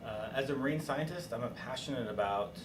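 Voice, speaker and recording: A man who is a marine scientist, speaking formally and deliberately in an interview. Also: mid-range voice. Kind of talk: interview statement. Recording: echoey room, distant mic, noisy.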